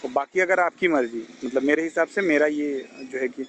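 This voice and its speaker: Male health advisor, Indian accent, slightly higher-pitched, reassuring and conversational, on a recorded phone call. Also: normal speed, a bit bright, a little hiss or hum. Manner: reassuring, code-mixing English terms into advice. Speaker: health advisor giving IBS guidance. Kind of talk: casual phone consultation, advising.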